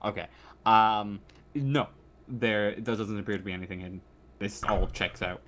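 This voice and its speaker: A man referees a tabletop roleplaying game in a casual, conversational voice. His explanations come out haltingly, as he feels his way through them. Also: on the low side, steady tone, a bit bright. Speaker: game master.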